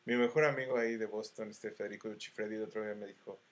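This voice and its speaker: A man's voice: fairly low pitch, steady tone, fast talker. Slangy Mexican man, casual storyteller. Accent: Mexican Spanish.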